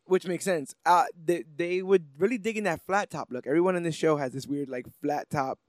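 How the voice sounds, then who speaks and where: A man's voice: a little high, fairly quick, no echo, studio quality. A man co-hosting a podcast, casually retelling things in a conversational way with loose paraphrases. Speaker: podcast co-host. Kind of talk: casual chat, cartoon recap.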